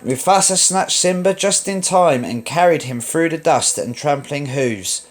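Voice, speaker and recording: Expressive man narrating a children's storybook. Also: fairly high pitch, measured pace. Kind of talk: children's read-along narration.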